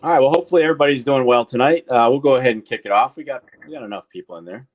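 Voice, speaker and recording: A man's voice: medium pitch, rapid-fire. Candid man chatting on a livestream. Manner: candid, rambling, greets viewers by name. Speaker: livestream co-host. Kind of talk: casual livestream chat.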